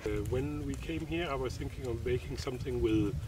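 Middle-aged man, from Denmark, halting speech with fillers, mid pitch, outdoors, far from the mic, clear background noise. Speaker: visiting artist. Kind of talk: casual interview. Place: outdoors in open desert. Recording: distant mic, noisy outdoors.

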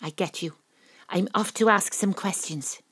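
An actress playing a wry, dogged private detective with a Welsh accent and a husky voice, in audio drama narration and dialogue. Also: female voice, medium pitch, a little slow, fairly bright tone, no echo. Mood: businesslike, determined.

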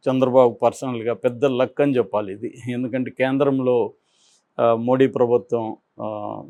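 A man gives political analysis in a medium-pitched voice. He speaks unscripted, breaking his commentary into halting phrases with frequent pauses. The sound is dry, with very little room. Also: creaky, warm tone, no echo.

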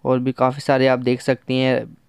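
Casting: male tech reviewer, very smooth clear voice, dry close sound, casual step-by-step explaining. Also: medium pitch, completely flat delivery, normal speed, darkish tone, no room sound. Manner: conversational, instructional, mixes in English terms. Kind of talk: casual hands-on phone app tutorial.

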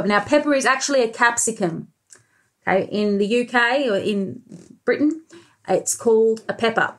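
An Australian-accented woman, a preschool teacher in her thirties, reading a picture book aloud expressively with questions and deliberate emphasis. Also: medium pitch. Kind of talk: picture-book read-aloud for young children. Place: small room indoors by a window.

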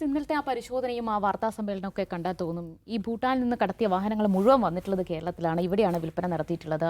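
A woman with a Kerala accent hosts a news discussion. She speaks extremely fast at an average pitch, laying out questions for the panel. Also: a little mellow. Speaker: host of a news discussion show. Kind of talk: posing questions in a current-affairs discussion.

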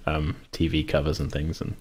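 A man with a very low voice hosts a casual podcast conversation. He comes across as self-deprecating and chuckles readily as he talks. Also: monotone, normal speed, darkish tone. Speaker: podcast host and game master.

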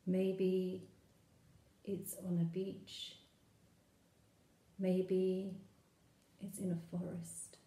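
A woman leading a guided meditation, speaking soothingly and gently in short phrases with long pauses. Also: fairly low pitch, completely flat delivery, reverberant.

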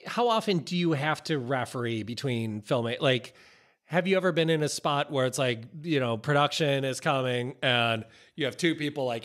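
A middle-aged man talking casually and haltingly on a podcast, indoors in a small office. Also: mid-range voice, fairly bright tone. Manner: halting, conversational.

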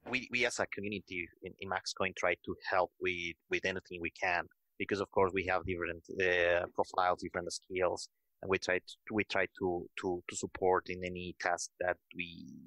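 A man with a Mexican accent, a native Spanish speaker, answering haltingly as an interview guest. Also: sits low, little pitch movement, bright, forceful tone, no echo, poor audio. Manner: halting, repeats words. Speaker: interview guest, cryptocurrency project team member. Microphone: remote call.

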